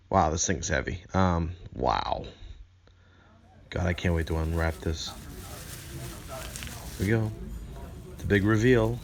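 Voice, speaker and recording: A man's voice: sits low, slow, long pauses, a little hiss or hum. A man presenting a video review in an earnest, wordy way, with rather formal phrasing. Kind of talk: scripted review narration with enthusiastic unboxing remarks.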